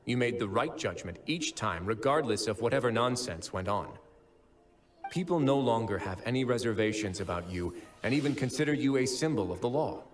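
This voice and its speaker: A man voicing a composed, shrewd, gentlemanly fortress overseer in a video game, articulate and deliberate. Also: medium pitch, natural voice. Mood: earnest, assured.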